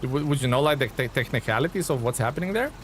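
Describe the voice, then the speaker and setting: A man's voice: mid-range voice, fast, a little hiss or hum. Chatty streamer man. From Lithuania. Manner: relaxed, chatty, many fillers and restarts. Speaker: game streamer. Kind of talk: casual live-stream chat reading viewer comments.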